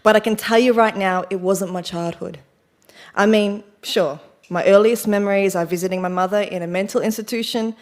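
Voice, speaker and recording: An actress playing a rattled, self-deprecating woman in a confessional stage monologue, speaking in a wry, halting, defensive way. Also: medium pitch, natural voice. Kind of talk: theatrical monologue, conversational and confessional.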